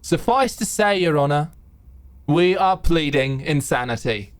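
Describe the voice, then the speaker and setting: A man's voice: fairly high pitch, expressive, a little slow, clean tone. A man playing a nervous, awkward, deferential public defender in a courtroom roleplay drama. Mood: earnest.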